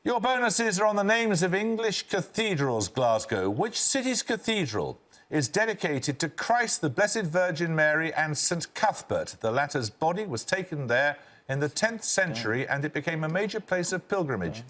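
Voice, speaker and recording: An older man hosting a television quiz, reading questions in a crisp, brisk manner. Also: fairly high pitch, very animated, a bit bright. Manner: crisp, brisk quizmaster delivery. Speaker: quiz show host.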